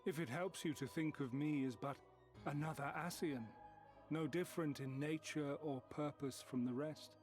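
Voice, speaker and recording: An actor playing an ancient, cold and condescending emissary in a fantasy game, speaking in measured, formal, archaic phrases. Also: male voice, fairly high pitch, fairly even intonation, natural voice. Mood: dismissive. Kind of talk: dramatic fantasy video game acting. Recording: music behind the voice.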